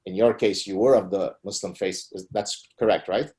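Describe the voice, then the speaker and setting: A man's voice: steady tone, moderate pace, warm tone. A middle-aged man from Lebanon whose first language is Arabic, speaking emphatically as a guest in an interview. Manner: emphatic, rising pitch on key points. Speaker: guest author. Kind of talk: conversational interview.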